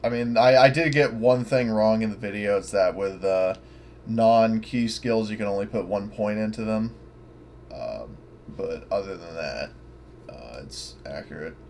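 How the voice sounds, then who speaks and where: A man chatting casually as a player in an online tabletop game, speaking in halting fragments. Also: on the low side, creaky, darkish tone, slight background noise.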